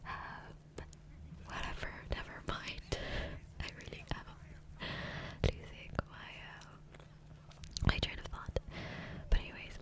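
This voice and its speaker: A woman whispering softly and breathily into a close microphone in a rambling, hesitant ASMR gameplay commentary, often trailing off. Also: slow, pauses often. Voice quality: soft, breathy unvoiced whisper. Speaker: ASMR gaming creator. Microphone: close USB condenser microphone.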